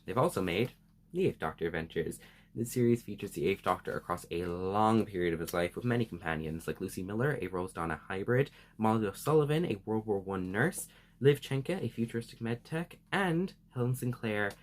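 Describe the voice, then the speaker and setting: A man hosting a semi-scripted explainer vlog, speaking in an earnest, emphatic and enthusiastic way. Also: on the low side. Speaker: video host.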